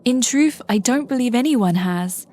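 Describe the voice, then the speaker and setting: An actress playing an earnest, anxious warden, gently insistent, in a fantasy audio drama. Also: female voice, fairly high pitch, expressive, a little slow.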